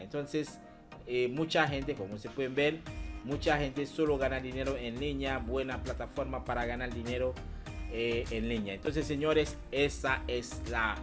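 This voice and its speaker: A man's voice: medium pitch, fairly bright tone. Man chatting over faint music. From Haiti. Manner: repetitive, addresses viewers as "señores", many fillers. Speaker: channel host. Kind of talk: screen-share tutorial, talking to viewers.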